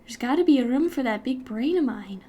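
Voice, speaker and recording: High-voiced giggly American young woman. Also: animated, brisk, mellow, a little hiss or hum. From United States. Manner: giggly, chatty, rambling. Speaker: YouTuber animating while streaming. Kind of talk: casual chat while working.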